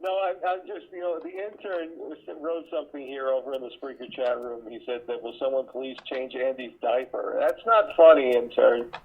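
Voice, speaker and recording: A man's voice: medium pitch, steady tone, normal speed, few pauses, a little hiss or hum. A man co-hosting a podcast over a phone line, chatting casually and teasing. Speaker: podcast co-host. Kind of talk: casual chat.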